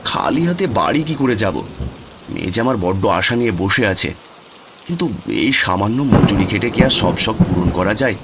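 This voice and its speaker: A man's voice: lowish voice, lively intonation, brisk, background noise, lightly acted. A man voicing a poor, doting village father in a cartoon, humble and coaxing. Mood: dejected.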